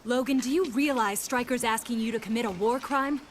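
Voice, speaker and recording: A defiant, confrontational female agent from a video game, played by an actress. Her voice is fairly high and forceful. Loud noise runs behind it. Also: a little fast, lightly acted. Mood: angry, defiant. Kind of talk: video game cutscene acting.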